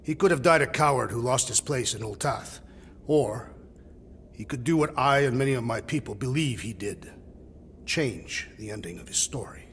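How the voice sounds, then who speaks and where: A man playing a solemn, honour-bound alien warrior in a video game cutscene, speaking gravely and formally. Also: medium pitch, lively intonation, moderate pace, fairly bright tone, in a large hall, slight background noise. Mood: earnest, dignified. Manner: grave, formal, storytelling delivery without contractions.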